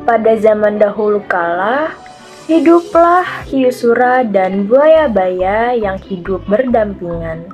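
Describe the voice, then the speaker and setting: A young woman reading a scripted children's fable as narrator. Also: medium pitch. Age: young adult. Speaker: student storyteller.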